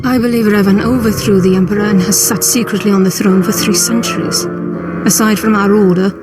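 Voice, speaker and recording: An actress playing an earnest, conspiratorial Sith cult leader in a video game, speaking in a measured, solemn way. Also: female voice, medium pitch, fairly even intonation, over loud music, lightly acted. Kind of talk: video game dialogue acting.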